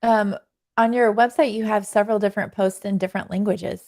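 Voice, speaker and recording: A woman's voice: medium pitch, steady tone, a little fast, a little mellow. A woman hosting a podcast, asking curious and sometimes self-deprecating questions in a casual interview. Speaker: podcast host.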